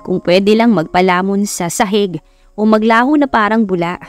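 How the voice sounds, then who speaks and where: A woman's voice: mid-range voice, moderate pace. A woman with a Filipino accent reading a scripted romance story as a dramatic audiobook narrator. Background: music behind the voice.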